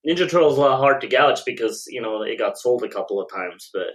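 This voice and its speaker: Man with mid-pitched voice, casual podcast co-host. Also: lively intonation, fast, a bit bright. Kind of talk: casual chat among comics fans.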